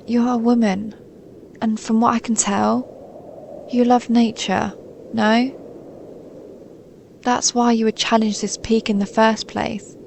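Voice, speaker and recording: Woman as a gravelly-voiced, gentle ancient snow maiden. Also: on the high side, slight background noise. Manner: soothing, formal, measured, solemn. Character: centuries-old female snow maiden, gentle, stoic, melancholy guardian spirit. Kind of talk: scripted audio roleplay, intimate monologue to listener.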